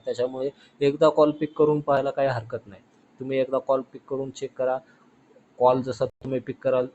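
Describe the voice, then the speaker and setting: A man presenting an informational vlog, explaining in a halting, repetitive way. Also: mid-range voice, warm tone, highs cut off, a little hiss or hum. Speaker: YouTube host.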